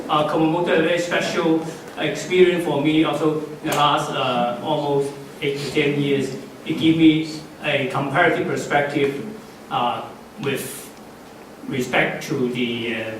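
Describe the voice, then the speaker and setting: Cantonese-native man, far off in an echoey hall. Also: mid-range voice. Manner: halting, many fillers and restarts. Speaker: conference speaker. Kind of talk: unscripted closing remarks. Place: conference hall. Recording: echoey hall, far from the mic, faint noise.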